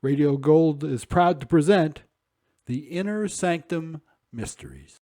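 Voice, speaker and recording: Male presenter for scripted introductions: mellow, lightly pitched, recorded dry. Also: measured pace, no echo. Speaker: announcer. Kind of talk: scripted narration. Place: dry, close studio-like recording.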